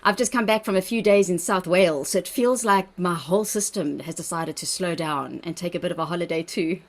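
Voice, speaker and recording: A woman's voice: fairly low pitch, a little fast. A woman with a South African accent, a dietitian leading an online wellbeing workshop on a video call in an encouraging, chatty manner. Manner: warm, encouraging, chatty with laughs. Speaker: workshop presenter, registered dietitian.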